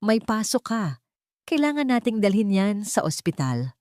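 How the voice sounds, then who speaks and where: Woman as wealthy Filipino male protector for audio storybook: soft-spoken, assured, measured, dry close sound. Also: medium pitch, very animated, no room sound, pitched above the performer's own voice, natural voice. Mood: gentle concern. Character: calm, commanding, protective rich man. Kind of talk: dramatic audio storybook dialogue.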